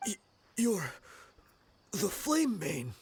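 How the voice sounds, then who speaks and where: A man playing a gruff, defiant enemy mercenary in a video game, scornful and guarded. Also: high voice, wildly swooping pitch, very slow, full character voice.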